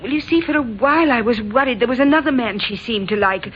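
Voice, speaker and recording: A woman's voice: fairly high pitch, fast, lightly acted. An actress playing a worried, deferential English mother, middle-aged and faltering, in an old-time radio drama. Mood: reluctant, disapproving. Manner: anxious, faltering, reluctant to gossip. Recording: muffled, noisy old radio recording.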